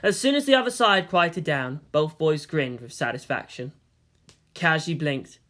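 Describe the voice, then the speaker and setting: A man reading fan fiction as an expressive scripted narration. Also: on the high side, measured pace.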